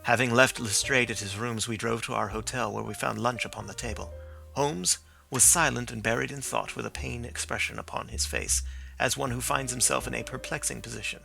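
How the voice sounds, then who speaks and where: A man narrating a classic story as an audiobook in steady, storytelling phrasing. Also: medium pitch, brisk, gravelly, soft background music.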